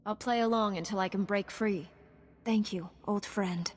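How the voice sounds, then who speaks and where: An actress playing a composed, earnest young Jedi knight in a video game, measured and polite. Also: female voice, mid-range voice, steady tone, husky, close to an everyday voice. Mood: resolute. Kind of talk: video game cutscene acting.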